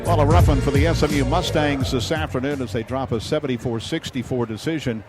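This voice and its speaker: A man working as a sports radio announcer, hosting a postgame interview in measured, halting phrases. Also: mid-range voice, fairly even intonation, over loud music.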